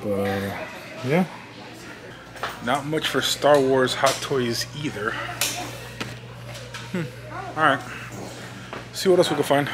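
A man's voice: mid-range voice, takes it slowly, pauses often, noisy background. A man in his thirties with a Cuban accent, chatting casually and joking as he browses a toy store while filming with a handheld camera. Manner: laid-back, joking, mumbles asides. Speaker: toy-collecting vlogger. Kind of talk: casual vlog chat. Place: large toy store, indoors. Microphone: handheld camera held close. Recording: busy store chatter behind.

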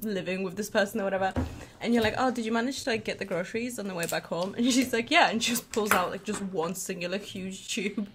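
A woman's voice: medium pitch, fast, background noise. A young woman co-hosting a reaction video from home, chatting casually with lots of likes and frequent laughs. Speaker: co-host. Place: home room with bookshelves.

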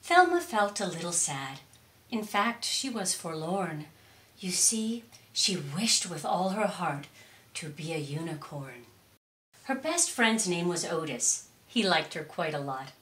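A middle-aged woman reading a children's storybook expressively, with deliberate, theatrical emphasis in a roomy space. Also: fairly low pitch, very animated, a bit bright. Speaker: storytime reader. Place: indoors, curtained room or small stage. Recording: roomy echo on voice.

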